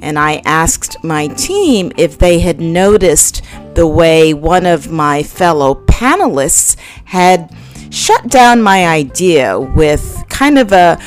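A woman's voice: deep voice, lively intonation, takes it slowly, soft background music. A woman hosting a podcast, opening the episode with an earnest personal story. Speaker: podcast host. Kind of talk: scripted podcast intro.

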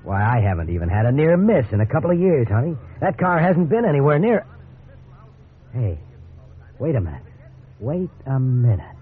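Lively-pitched sensible American radio husband. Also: male voice, normal speed, pauses often, breathy edge. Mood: defensive, recalling. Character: mild, sensible suburban husband, concerned but fair. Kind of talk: 1950s radio drama acting. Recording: tinny 1950s radio recording, faint hiss.

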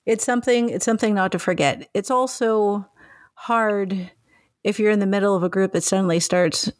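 A woman from Mexico hosting an interview, chatty and animated, acting out the remarks she quotes. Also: mid-range voice, moderate pace, dry recording, clean studio sound. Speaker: interviewer, host. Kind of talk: casual interview conversation.

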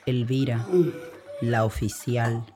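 A woman's voice: rumbling low, level tone, slow pace. A woman with a Paraguayan accent playing a gossipy, wry narrator who confides a scandalous family story in an audio drama. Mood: wry confiding. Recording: music bed under the voice.

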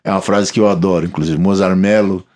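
A Brazilian man co-hosting a podcast, talking casually and enthusiastically about bass records and books, with many restarts. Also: steady tone, fast, darkish tone. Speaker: podcast co-host, bassist.

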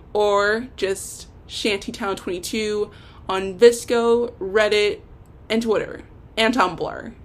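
A young woman hosting an unscripted solo podcast, talking in a rambling, hesitant, self-deprecating way. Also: medium pitch. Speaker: podcast host.

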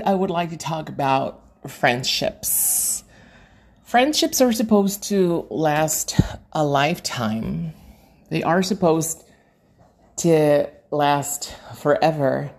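A woman from Peru, hosting a podcast and reflectively telling a personal story with many pauses and fillers. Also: rumbling low. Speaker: podcast host and English teacher.

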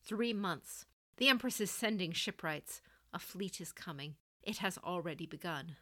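A woman's voice: on the low side, animated, moderate pace, sharp tone. Woman acting a stern older female samurai general. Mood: firm, resolute. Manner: clipped, commanding, severe.

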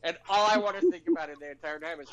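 A man's voice: on the high side, very animated, rapid-fire, crowd noise. A man co-hosting a casual podcast chat, joking and riffing on news items. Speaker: podcast co-host.

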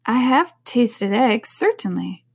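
A woman's voice: fairly high pitch, very animated, slow pace, creaky, breathy edge, voice pushed up, full character voice. A woman voicing a polite, puzzled little girl in a storybook, earnestly wondering and protesting. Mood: doubtful. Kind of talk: storybook character voice.

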